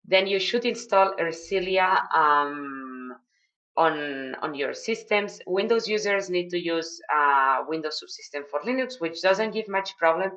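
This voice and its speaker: A woman with a Spanish accent explains tasks to newcomers on a video call, her voice sitting low. She talks in an explanatory way, pausing on fillers and restarting phrases. The audio is a touch dull. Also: a bit bright. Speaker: project mentor. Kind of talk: online video call briefing.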